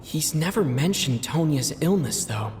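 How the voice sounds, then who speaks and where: Low-voiced cool lady, dry but swings up and down. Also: lively intonation, unhurried, darkish tone, faint music behind.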